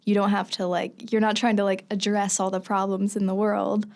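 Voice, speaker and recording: A woman's voice: mid-range voice, flat delivery, normal speed, creaky, a little mellow. A woman co-hosting a radio interview, phrasing her questions hesitantly with many fillers. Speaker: radio show co-host.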